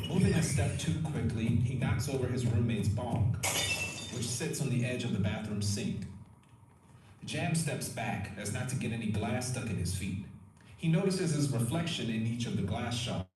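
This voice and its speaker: A man's voice: mid-range voice, lively intonation, moderate pace, very noisy, lightly acted. A man narrating an audio play as a wry, dramatic storyteller. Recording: echoey, distant livestream playback. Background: loud music.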